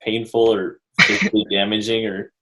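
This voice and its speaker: A man's voice: on the low side, little pitch movement, moderate pace, noisy background. A teenage young man hosting a podcast, asking questions in a casual, inquisitive way. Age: teens. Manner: inquisitive, reacts with surprise. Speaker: podcast host. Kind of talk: casual interview chat.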